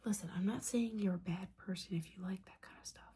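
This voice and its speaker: A woman playing a disappointed, judgmental but caring witch mentor in a whispered, softly spoken ASMR roleplay, scolding with sassy, casual asides. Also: medium pitch, fast, husky.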